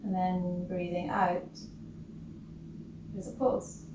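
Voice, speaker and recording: Slow-spoken woman guiding meditation, distant and noisy. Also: lowish voice, little pitch movement. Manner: gentle, instructional, with long pauses between short phrases. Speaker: yoga or meditation teacher. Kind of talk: guided breathing meditation. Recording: roomy, distant mic with loud background noise.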